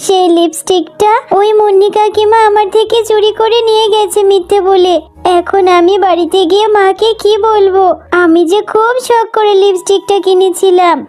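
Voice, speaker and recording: A woman's voice: extremely high pitch, steady tone, moderate pace, a little mellow. A woman voicing a sweet, naive little fox girl in a children's cartoon, plaintive and emphatic. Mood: tearful, upset.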